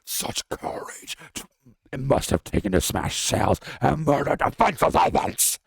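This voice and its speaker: A man voicing a colossal ancient male dragon, bellowing slow, drawn-out threats in a howling voice. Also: mid-range voice, sing-song, gravelly, breathy edge, voice pushed up, full character voice. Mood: scornful roaring. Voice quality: howling, roaring delivery.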